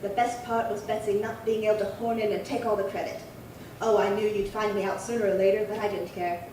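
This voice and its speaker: A woman's voice: medium pitch, flat delivery, brisk, natural voice. A woman playing a resentful, defiant seventy-nine-year-old spinster in an amateur old-time radio crime drama, querulous and emphatic. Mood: smugly satisfied. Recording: roomy echo, distant mic, faint hiss.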